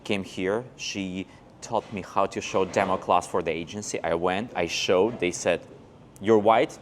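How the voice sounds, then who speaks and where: A man's voice: deep voice, fairly even intonation, unhurried, a little hiss or hum. A man in his thirties from Belarus, an English teacher telling his story in a casual interview, recorded on a lapel mic. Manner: chatty storyteller, acts out quoted dialogue. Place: outdoors on a paved old street and in a cafe.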